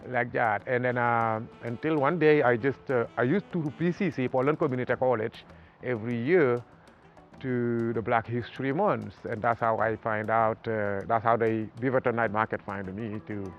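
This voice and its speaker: A man from Senegal with a Senegalese accent, talking haltingly about his art in a documentary interview, with many pauses and fillers. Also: mid-range voice, steady tone, dull audio, soft background music. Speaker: artist.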